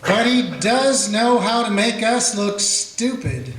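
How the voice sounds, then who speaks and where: A man's voice: sits high, expressive, slow, a bit bright, slight background noise, heavily acted. A man playing a dry, folksy sheriff. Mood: musing. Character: weary small-town male sheriff, dry humor. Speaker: sheriff and narrator. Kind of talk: radio play narration and acting. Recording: echoey room with faint music.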